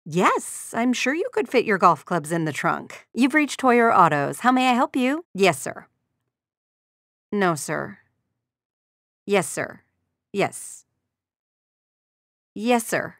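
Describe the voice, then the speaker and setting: An actress playing a courteous but dryly sardonic receptionist at a car dealership, delivering scripted video game lines. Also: female voice, mid-range voice, sing-song, slow pace, long pauses, a bit bright, no echo, clean studio sound, heavily acted. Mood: polite, businesslike. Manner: courteous customer-service formality with sardonic undertone. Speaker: secretary answering customer calls.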